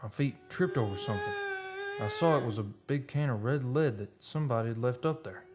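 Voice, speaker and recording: An actor playing a haunted, obsessed oil driller confessing a horror in a halting, brooding radio monologue. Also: male voice, mid-range voice, animated, heavy background noise, natural voice. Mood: tense, fearful. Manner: halting, brooding, dramatic storytelling.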